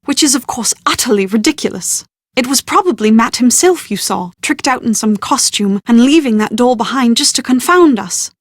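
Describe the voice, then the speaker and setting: An actress playing a well-bred, proud young British bride-to-be in a video game, with prim, articulate, genteel phrasing and exasperated confidence. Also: female voice, a little high, lively intonation, moderate pace, no echo, clean studio sound. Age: young adult. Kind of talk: scripted video game dialogue.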